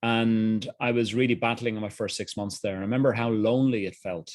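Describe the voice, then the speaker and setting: A man's voice: fairly low pitch, fairly even intonation, fairly quick, no echo, clean studio sound. A middle-aged man with a Dublin Irish accent, speaking candidly as an interview guest, often restarting phrases. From Ireland. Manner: candid, earnest, frequent restarts. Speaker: guest, executive coach. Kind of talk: reflective interview answers.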